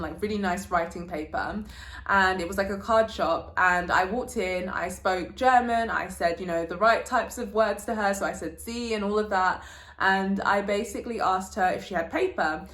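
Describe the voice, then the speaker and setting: A woman's voice: mid-range voice, a bit bright. Chatty young woman vlogger in an echoey room. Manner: chatty, informal, uses slang. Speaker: vlogger, channel host. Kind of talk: casual sit-down vlog chat, telling anecdotes. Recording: roomy, echoey indoor sound.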